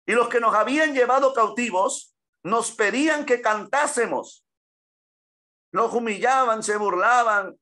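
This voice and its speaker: A man's voice: very high voice, measured pace, pushed and bright. A man from Peru teaching a Bible class at a church, often breaking in with praises and calling listeners "brother" as he reads and explains scripture. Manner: peppers speech with "gloria al nombre del Señor" and "amén"; addresses listeners as "hermano".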